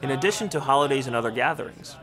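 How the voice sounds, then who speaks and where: A young man reads a scripted voice-over in a calm, measured voice of middle pitch. Faint music sits under the narration. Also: poor audio.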